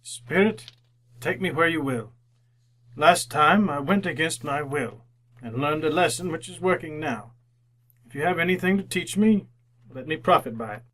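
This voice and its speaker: A humbled old miser speaks in a husky, fairly high voice. He is anxious and earnest, halting over his words in old-time radio style. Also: animated, fairly bright tone, low-quality recording.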